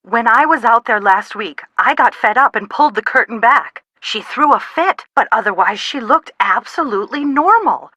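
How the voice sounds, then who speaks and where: Fretful sharp-tongued mom in a video game, kinda high bright voice, wry and dramatic. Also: female voice, animated, moderate pace, few pauses, no echo. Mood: worried, pleading. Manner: exasperated, dramatic, dry wit. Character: anxious, sharp-tongued middle-aged mother, wry and bossy. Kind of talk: video game dialogue, phone conversation acting.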